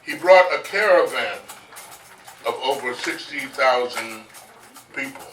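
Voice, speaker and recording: A man narrating history on stage in a deliberate, emphatic way, like a live recitation. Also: mid-range voice, animated. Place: echoey venue, live stage performance. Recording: echoey, distant mic, faint music.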